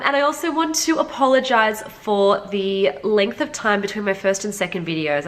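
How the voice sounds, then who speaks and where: A woman's voice: lowish voice, a bit bright. A woman with a congested, slightly nasal voice from being sick, giving a casual, chatty beauty review straight to her viewers. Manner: informal, frequent "like", addressing viewers directly. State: sick with a cold. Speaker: beauty vlogger reviewing makeup.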